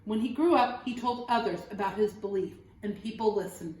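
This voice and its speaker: A woman teacher reading a children's story aloud with expressive, dramatic phrasing and questions to young listeners. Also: mid-range voice, a little fast, roomy sound, slight background noise, low-quality recording.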